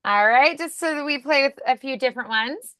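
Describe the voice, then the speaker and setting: A woman's voice: high-pitched, brisk, fairly bright tone, no room sound. A woman working as a voice acting coach, giving casual, encouraging direction.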